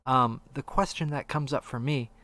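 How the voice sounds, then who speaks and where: A man's voice: mid-range voice, fairly even intonation, moderate pace, sharp tone. A man co-hosting a casual, opinionated gaming discussion, rambling and a little hesitant with half-finished thoughts. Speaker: podcast co-host.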